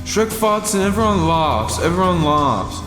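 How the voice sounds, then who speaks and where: A higher-voiced man gives a slow, melodramatic comic monologue. He sounds aggrieved and pauses deliberately, in a big echo with music clearly underneath. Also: animated. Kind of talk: mock-serious comic monologue. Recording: echoey, long reverb, music underneath.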